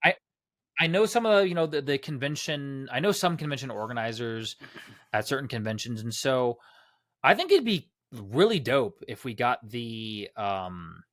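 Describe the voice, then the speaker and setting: A man's voice: mid-range voice, big pitch swings, normal speed, a bit bright, no room sound, clean studio sound. A man hosting a casual group podcast, rambling with many fillers and restarts. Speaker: podcast host. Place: Los Angeles.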